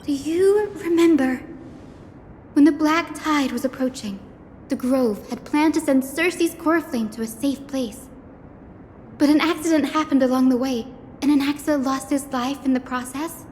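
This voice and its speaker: A woman voicing an earnest young female scholar in a video game, reasoning aloud in a deliberate, theatrical way. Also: high voice, a little mellow, a little hiss or hum. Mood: intent, pondering. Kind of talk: dramatic video game voice acting. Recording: long hall reverb, music behind. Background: music.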